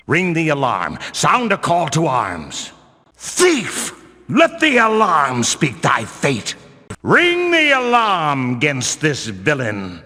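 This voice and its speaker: An actor playing a stern, pious medieval temple guard in a video game, speaking in pompous, archaic, theatrical phrases. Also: male voice, mid-range voice, creaky, sharp tone, husky, exaggerated voice. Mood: alarmed, commanding. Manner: archaic pompous speech, declaiming. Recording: long cavernous reverb.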